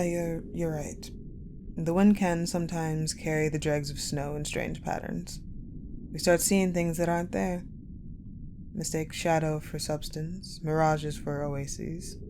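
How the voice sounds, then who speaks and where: Woman playing a slow, low-voiced icy woman general. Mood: pensive, composed. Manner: measured, haughty, pensive, with deliberate pauses. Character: cold, guarded, possessive woman war general, the Ice Queen. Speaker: audio roleplay voice actor. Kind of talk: dramatic audio roleplay monologue. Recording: clearly noisy, ambient sound bed.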